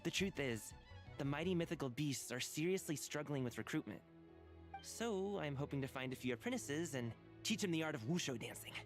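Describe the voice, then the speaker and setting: An actor voicing an earnest young male dancer in a video game, boyish, informal and expressive. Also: fairly high pitch, brisk, music in the background, natural voice. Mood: sheepish.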